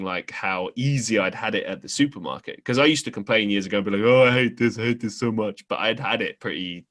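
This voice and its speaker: Lively, bright-voiced podcast host man. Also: on the low side. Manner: animated, self-deprecating, frequent laughs and restarts. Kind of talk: casual interview chat.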